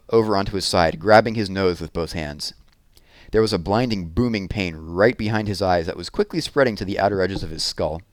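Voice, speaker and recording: Animated low-voiced man reading a story. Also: no echo. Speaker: read-aloud narrator. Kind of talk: expressive storybook narration.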